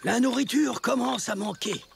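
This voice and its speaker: Very gravelly, stern cartoon clan leader. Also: male voice, very high voice, normal speed, heavy background noise, heavily acted. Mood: commanding. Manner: authoritative, declamatory. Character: stern adult clan leader laying down the law. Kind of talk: French animated cartoon dub acting.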